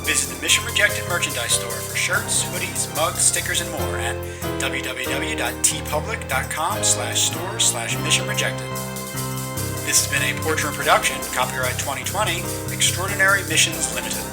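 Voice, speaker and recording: Man reading credits over loud music. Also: fairly high pitch, expressive, normal speed. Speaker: credits announcer. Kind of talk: scripted credits narration.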